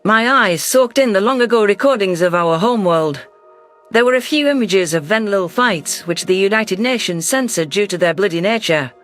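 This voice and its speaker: A man's voice: high voice, lively intonation, normal speed, a bit bright. A man narrating a dramatic scripted science fiction story. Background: faint music.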